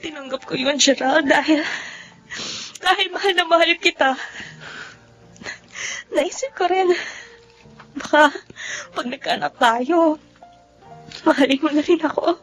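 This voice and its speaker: High-voiced, heartbroken young Filipino woman. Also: measured pace, long pauses, fairly bright tone. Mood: heartbroken, tender. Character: heartbroken, forgiving pregnant young woman in love. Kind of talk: Filipino radio drama acting. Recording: music under the voice.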